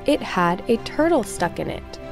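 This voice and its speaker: A woman reading a children's storybook aloud in scripted narration, expressive and clearly phrased for young listeners. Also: mid-range voice, normal speed, over music.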